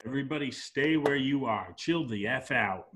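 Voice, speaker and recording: A brash space outlaw, read by a man with a threatening edge. The pitch is middling, and the delivery is that of an amateur script read-through. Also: lively intonation, normal speed, fairly bright tone, close to an everyday voice.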